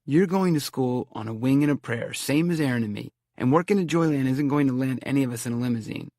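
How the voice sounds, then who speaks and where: Wisecracking college guy, sarcastic, punchy and loud, gravelly edge, dry sound, a man voicing him. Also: medium pitch, fast, no echo, pitched above the performer's own voice, natural voice. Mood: exasperated. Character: young man college student, sardonic and money-minded.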